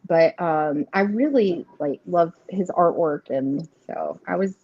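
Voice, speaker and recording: Slow, giggly woman on a dull stream. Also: fairly low pitch, husky. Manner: chatty, giggly, Southern "y'all". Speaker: co-host of a live tarot reading stream. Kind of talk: casual livestream chat.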